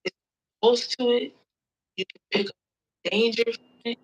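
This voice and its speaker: A woman's voice: a little high, flat delivery, a little slow, highs cut off, voice pushed up, close to an everyday voice. A woman voicing a weary sea survivor in an audio play monologue, telling the story in a reflective, folksy way. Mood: wary, reflective. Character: weary storm-tossed survivor recounting near drowning at sea.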